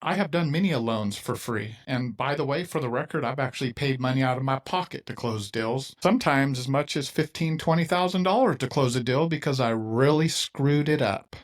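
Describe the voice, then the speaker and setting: A man's voice: medium pitch, lively intonation, fairly quick, few pauses. A man in his fifties hosting a casual interview, talking in an emphatic, folksy way and acting out other people's lines. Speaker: podcast host.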